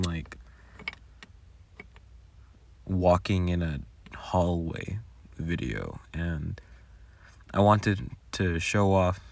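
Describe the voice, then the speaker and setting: Deep-voiced man, slow and creaky. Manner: rambling, informal. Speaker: audio roleplay creator. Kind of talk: casual behind-the-scenes commentary.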